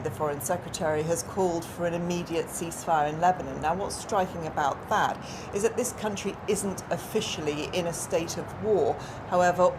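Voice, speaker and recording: A woman giving unscripted live analysis as a foreign news correspondent. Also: fairly low pitch. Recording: noisy outdoor live link, vehicle ambience.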